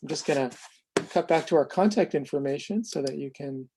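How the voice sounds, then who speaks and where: Halting, slightly higher-voiced man presenting. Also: noisy background. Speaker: presenter of a software demo. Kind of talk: informal online demo presentation.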